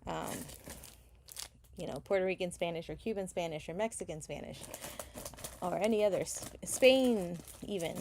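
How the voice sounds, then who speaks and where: A woman's voice: lowish voice, moderate pace, pauses often, darkish tone, slight background noise. A woman who was born in Chile, chatting casually during a live selling stream, rambling a little and thanking buyers. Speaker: live seller. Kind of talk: casual livestream chat. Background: crinkling sticker packs.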